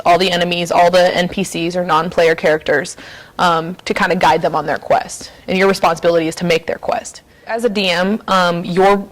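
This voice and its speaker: A woman with a lowish voice and little melodic movement. She explains things informally, as if in an interview, pausing and feeling for her phrasing. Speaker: interviewee explaining a tabletop game.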